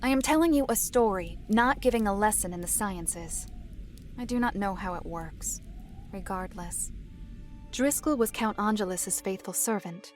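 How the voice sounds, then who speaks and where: A woman playing a reserved, composed female storyteller in a fantasy audio drama, speaking in a measured, formal, precise way with a dry, stern edge. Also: medium pitch, soft background music, lightly acted. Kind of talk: fantasy visual novel audio drama acting.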